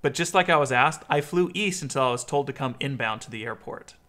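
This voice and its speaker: An American man in his thirties giving a clear instructional explainer as a video host. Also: mid-range voice, animated, fairly quick, bright, forceful tone.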